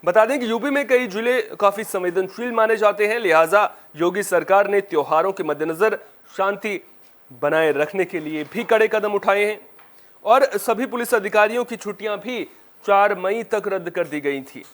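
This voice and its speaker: A male news anchor with a notably high-pitched voice reads from a script. His delivery is formal and presentational, in the style of a television bulletin. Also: moderate pace, fairly bright tone.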